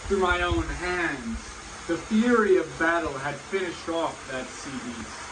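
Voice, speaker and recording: A man's voice: on the high side, expressive, measured pace. A man playing a boastful heroic warrior, declaiming an epic monologue with proud, dramatic delivery. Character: boastful heroic warrior recounting sea battles. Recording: echoey room, clear background noise.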